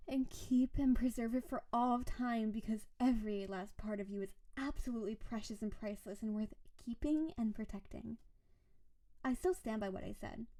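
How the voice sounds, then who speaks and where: A woman's voice: on the high side, dark tone, lightly acted. A woman playing a doting, obsessive, possessive snow-woman spirit wife in an intimate romantic roleplay audio drama, gushing and cooing over the listener with dramatic emphasis.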